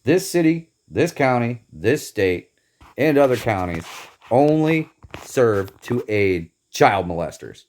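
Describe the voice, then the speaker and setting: A man's voice: mid-range voice, very animated, takes it slowly, darkish tone, slight background noise. A man from Indiana delivering a bitter, profane, emphatic rant about his grievances. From Northeast Indiana, USA. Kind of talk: angry personal rant to camera.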